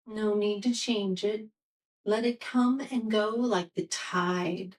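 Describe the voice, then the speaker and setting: Slow-paced woman guiding meditation, distant mic. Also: mid-range voice, steady tone. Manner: soothing, gentle, unhurried guiding. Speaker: yoga and meditation teacher. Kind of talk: guided meditation, restorative yoga instruction.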